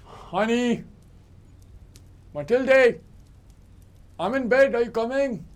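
A man's voice: very high voice, slow pace, over music. A young man playing a devoted, eager-to-please clerk husband in an amateur radio drama, tender and reassuring. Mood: calling out. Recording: noisy amateur recording.

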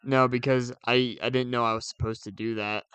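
A man's voice: mid-range voice, level tone, a little fast, fairly bright tone, smooth, no room sound. A young man co-hosting a podcast, talking casually and informally with a friend. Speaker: podcast co-host. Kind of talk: casual chat.

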